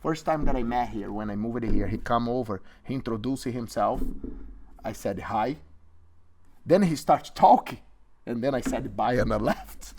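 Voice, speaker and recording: A man's voice: medium pitch, animated, unhurried, pauses often, darkish tone, a little hiss or hum. A middle-aged man from Brazil with a Brazilian Portuguese accent, a jiu-jitsu instructor chatting playfully in broken English. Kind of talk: casual chat.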